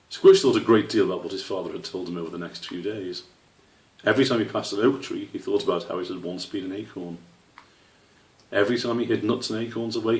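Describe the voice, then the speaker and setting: A man narrating a children's storybook in a measured, expressive way, as an author reading aloud. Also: on the low side. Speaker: author reading his own book. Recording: roomy echo, distant microphone.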